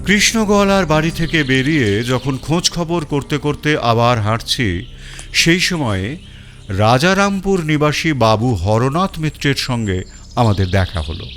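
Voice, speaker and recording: A man's voice: medium pitch, animated, a little slow, fairly bright tone, soft background music. A man narrating a first-person detective story in a storytelling style.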